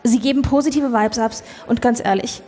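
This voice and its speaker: A woman's voice: a little high, fairly quick, dark tone, close to an everyday voice. A woman playing a pushy, dismissive saleswoman in an improvised comedy radio play. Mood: snooty, salesy. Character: pushy, snobbish glasses saleswoman. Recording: echoey hall with long reverb.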